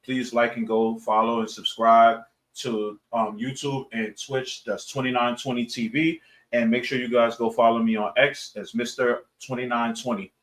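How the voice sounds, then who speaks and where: A man's voice: mid-range voice, little pitch movement, moderate pace, a bit bright. A man hosting a casual podcast interview, speaking in a hesitant, loosely improvised way. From New York, United States. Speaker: podcast host.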